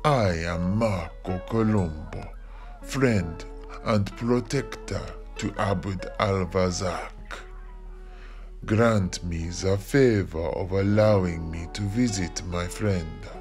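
A man plays a courtly sea captain from a fantasy tale. His voice is low and very slow, formal and grandly polite, with faint guitar music behind. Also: darkish tone. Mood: ceremonious greeting. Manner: formal, measured, ceremonious.

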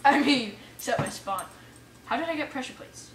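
Female let's player, fairly high and excitable, casual self-talk, echoey room with faint noise. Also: moderate pace. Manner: excitable, exclaiming and muttering to self. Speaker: gamer playing an adventure map for viewers. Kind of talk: casual let's play commentary. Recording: roomy echo, distant mic, faint noise.

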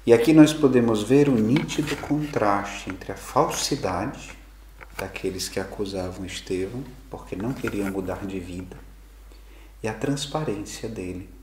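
A middle-aged male priest giving a homily, measured and reflective, with slow emphatic pauses. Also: on the low side, a little mellow. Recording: echoey room, faint background noise.

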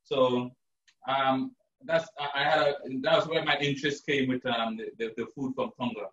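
A man from Trinidad who works as a chef, talking through a live cooking demo in a relaxed, chatty way with lots of fillers. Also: medium pitch, normal speed. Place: home kitchen indoors. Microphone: far camera, video call. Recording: roomy lo-fi sound from far off.

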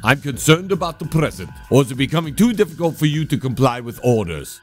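A man's voice: medium pitch, big pitch swings, fast, faint music behind. A man playing a tense, commanding starship captain in a sci-fi comedy audio play, giving curt, dramatic orders. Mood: tense, irritated.

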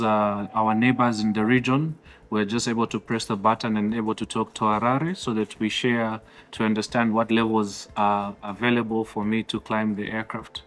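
A man around his thirties with a Zambian accent, explaining his controller work in an interview in measured, halting phrases. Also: lowish voice. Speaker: air traffic controller, approach. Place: office-like radar control room, indoors. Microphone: lapel. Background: background music.

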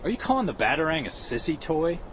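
An actor playing a defensive, bookish young American soldier who makes indignant, quick retorts. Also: male voice, a little high, big pitch swings, a bit bright, highs cut off, slight background noise.

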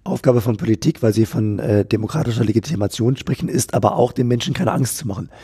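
Husky-voiced man in a political interview. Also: on the low side, fairly even intonation, dark tone. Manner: measured, repeats phrases, frequent fillers. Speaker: politician guest.

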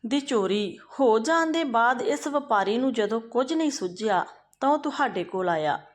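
High-voiced woman storyteller. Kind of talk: narrating a moral folk tale.

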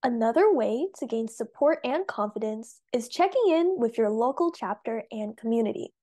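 Teen girl from Canada, news anchor, high mellow voice, earnest, webcam. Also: expressive, moderate pace. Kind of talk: scripted news presenting.

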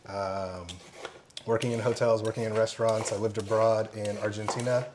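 A young man, a firefighter-paramedic, calmly explaining station life while cooking, with polite "yes, ma'am" replies. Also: lowish voice, normal speed. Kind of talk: casual cooking chat. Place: large fire station kitchen, indoors. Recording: clear background noise.

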